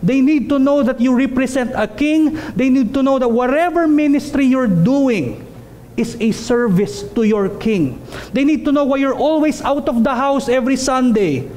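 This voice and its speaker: A man's voice: very high voice, animated, normal speed, darkish tone. A man in his thirties preaching a sermon in a small church hall, earnest and emphatic, repeating phrases for stress.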